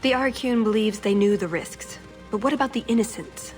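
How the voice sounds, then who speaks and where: A woman's voice: on the high side, fairly quick, a little mellow, natural voice. A woman playing an earnest, wry young elf agent in a dramatic video game scene. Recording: music behind the voice.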